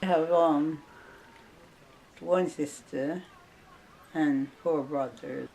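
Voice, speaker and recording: A woman's voice: low voice, drawn-out words, creaky, dark tone, a little hiss or hum, low-quality recording. An older woman from town recalling her family and schooldays in an oral history interview, matter-of-fact.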